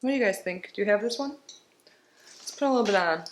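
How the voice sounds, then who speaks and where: A woman's voice: medium pitch, lively intonation, fast. A woman chatting casually through a beauty box unboxing, sounding stuffy and congested, rambling along with small laughs. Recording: roomy with faint background noise.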